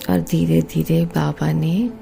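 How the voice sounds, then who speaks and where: Slow, low-voiced woman leading guided meditation. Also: level tone, gravelly. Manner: hushed, unhurried, long pauses. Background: meditation music.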